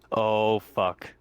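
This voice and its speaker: A man playing a tabletop roleplaying game, chatting casually. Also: on the low side. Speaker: player.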